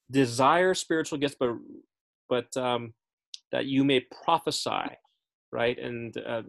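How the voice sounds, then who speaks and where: A man teaching a Bible study in a casual, chatty way, often checking in with his listeners and correcting himself as he goes. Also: medium pitch. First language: English. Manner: frequent fillers and self-corrections.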